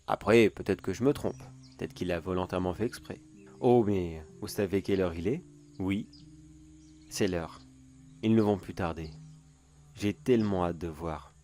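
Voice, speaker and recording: Casting: man doing a low-voiced, theatrical male voyeur, gloating and teasing, creepy story. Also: moderate pace, pauses often, warm tone, natural voice. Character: obsessive adult male watcher hiding his brother's crimes. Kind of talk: horror story character monologue.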